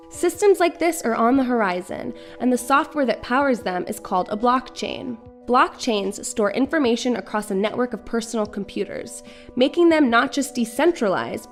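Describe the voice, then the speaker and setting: A woman reading scripted explainer narration. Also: a little high. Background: background music.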